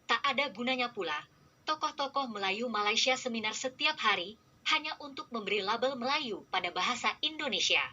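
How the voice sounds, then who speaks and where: A woman reading scripted narration in an even, formal manner. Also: fairly high pitch, fairly quick, dull audio, noisy background.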